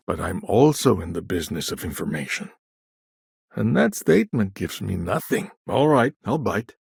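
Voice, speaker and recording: A gravelly, suave corporate negotiator. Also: male voice, on the low side, big pitch swings, moderate pace, no room sound, clean studio sound, full character voice. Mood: skeptical, firm. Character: smooth, shrewd, courteous male corporate business negotiator. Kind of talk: video game dialogue lines.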